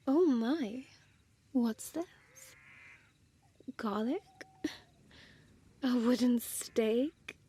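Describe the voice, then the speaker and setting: Woman acting as a slow, breathy, taunting female vampire. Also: fairly high pitch, mellow, full character voice. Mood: feigned surprise, taunting. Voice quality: breathy, often dropping to a whisper. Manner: slow, teasing, condescending, formal diction. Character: small deadly female vampire, mocking, seductive predator. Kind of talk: intimate audio roleplay addressed to listener.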